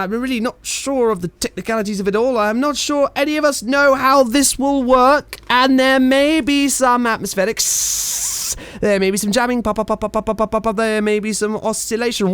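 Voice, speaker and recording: A man's voice: very high voice, fairly bright tone, clean tone, no echo. A man playing a cheeky, whimsical 1920s radio pioneer in a radio play, rambling theatrically with hesitant, playful asides to listeners. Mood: excited, incredulous. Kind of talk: 1920s radio play acting, comic monologue.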